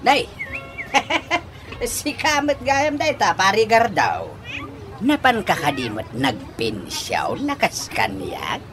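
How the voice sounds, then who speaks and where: A man's voice: high-pitched, huge pitch swings, takes it slowly, fairly bright tone, faint music behind, heavily acted. A man playing a giddy, boastful, lovestruck old father in a radio comedy drama, with exaggerated, giggly delivery.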